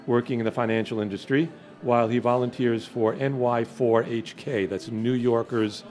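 The kind of halting American man you'd hear hosting an interview podcast from a neighborhood bar. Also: mid-range voice, steady tone, a little mellow. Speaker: podcast co-host. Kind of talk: conversational interview.

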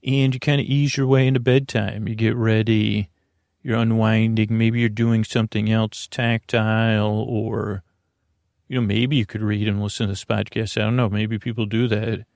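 A man's voice: little pitch movement, normal speed, vocal fry, darkish tone, dry recording, studio quality. A man hosting a bedtime podcast, rambling in a meandering, easygoing way with lots of hesitations and self-corrections. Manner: low-key, easygoing. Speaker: podcast host.

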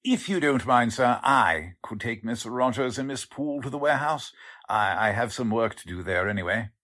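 A man's voice: medium pitch, expressive, normal speed, pushed and bright. A man playing an earnest, deferential warehouse clerk in 1940s radio drama style, polite and a little hesitant.

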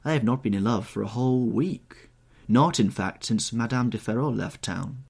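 A man voicing a charming, playful young society gentleman in an audiobook, polite and lightly flippant. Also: medium pitch, moderate pace, creaky, husky, voice pushed up. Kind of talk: audiobook character voice.

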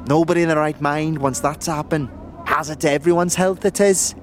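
A man's voice: a little high, steady tone, brisk, a little hiss or hum, close to an everyday voice. A man playing a scrawny, nervous young slum dweller in an audio drama, stammering and wary, talking in street slang. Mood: warning, guarded.

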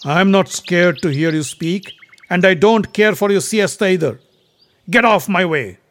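A man voicing a mighty, hot-tempered warrior prince in a storybook myth, declaiming loudly and indignantly. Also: mid-range voice, steady tone, moderate pace, dry recording, lightly acted. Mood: defiant.

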